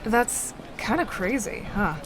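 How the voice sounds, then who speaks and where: A woman's voice: fairly high pitch, expressive, slow. A woman playing a flirty, easygoing mother in an audio drama, with a casual, slightly hesitant way of talking. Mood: curious. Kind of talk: audio drama character acting. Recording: clear background noise.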